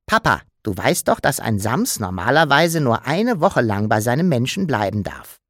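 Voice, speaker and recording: A man's voice: medium pitch, big pitch swings, normal speed, fairly bright tone, dry recording, clean studio sound. A man voicing a playful, mischievous childlike creature in an audiobook, with a bouncy, teasing way of talking. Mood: cheeky. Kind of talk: audiobook character voice.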